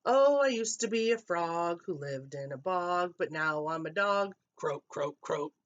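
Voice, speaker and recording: Woman acting a young boy frog, storybook character, proud, sing-song croaker, swooping lively pitch. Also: unhurried, dull audio. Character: young male frog proudly convinced he is a puppy.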